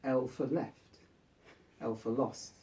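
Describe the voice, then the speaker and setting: A man reads literary fiction aloud to a live gathering. His voice is mellow and relaxed, at a middling pitch. The microphone sits some way off, so he sounds a little distant in the room. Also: slow. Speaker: author reading own short story. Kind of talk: literary story reading to a live audience. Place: bookshop book launch event.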